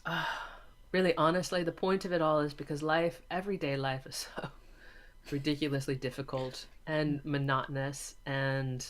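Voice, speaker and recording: A woman's voice: low-pitched, fairly even intonation, normal speed. A woman answering casually as an interview guest, stressing her points by repeating phrases. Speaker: interview guest, teacher of storytelling students.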